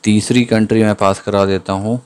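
Low-voiced man teaching code. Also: level tone, smooth. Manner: thinks aloud while typing, slows down to read out code. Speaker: programming tutor. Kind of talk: screen-recorded coding lesson. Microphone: voice-over on screen capture.

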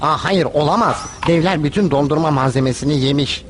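A man's voice: a little high, brisk, noisy background, close to an everyday voice. A man voicing a deferential, fretful but loyal pirate sidekick in a dubbed cartoon, meek in manner. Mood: dismayed. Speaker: cartoon voice actor. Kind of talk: animated cartoon dub acting.

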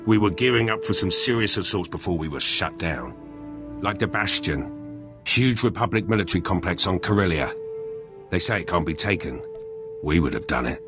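An actor playing a blunt, battle-hungry special forces soldier in a video game, gruff and husky, speaking in clipped, terse sentences. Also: male voice, low-pitched, expressive, normal speed. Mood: proud, businesslike. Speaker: soldier companion character. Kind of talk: video game dialogue acting. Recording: music under the voice.

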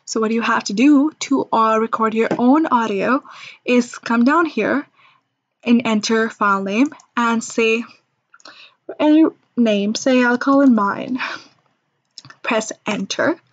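High-voiced woman giving a software tutorial. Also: slow pace, highs cut off. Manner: chatty, reassuring, step-by-step instruction. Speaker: software demonstrator. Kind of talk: informal screen-recorded tutorial narration.

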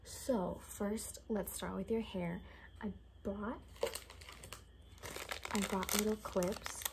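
Soft, mellow young woman whispering ASMR. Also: medium pitch, steady tone, slow pace, gravelly, poor audio. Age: young adult. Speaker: ASMR creator playing a caretaker. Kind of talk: ASMR roleplay, casual improvised chat. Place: small home bedroom, indoors. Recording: faint background room noise.

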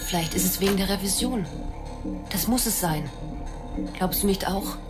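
A woman's voice: mid-range voice, moderate pace, close to an everyday voice. An actress in a German radio drama playing a condemned man's sad, desperately hopeful wife, pleading and fragile. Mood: anxious hope. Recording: music behind the voice.

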